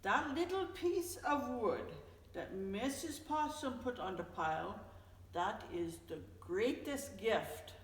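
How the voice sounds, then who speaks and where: A woman voicing a gentle, wise father bear in a children's storybook, explaining patiently and with emphasis to his young son. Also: medium pitch, animated, slow pace. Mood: patient, earnest. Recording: echoey, reverberant room, distant mic.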